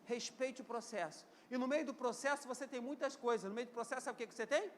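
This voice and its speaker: A man's voice: very high voice, fast talker, slight background noise. A man in his fifties with a Rio de Janeiro accent, preaching a live church sermon and drawing the congregation into call-and-response. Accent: Brazilian, Rio de Janeiro. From Brazil. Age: 50s. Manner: call-and-response preaching, urging the congregation to repeat phrases and say amen; swings between loud emphatic peaks and quieter stretches. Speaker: pastor.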